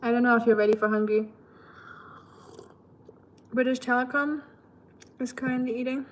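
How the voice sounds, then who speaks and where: A woman's voice: on the high side, fairly even intonation, moderate pace. A young woman with a German accent hosts a livestream, chatting casually and playfully and reading viewer messages aloud. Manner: chatty, laughs often, playful asides. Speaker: livestream host.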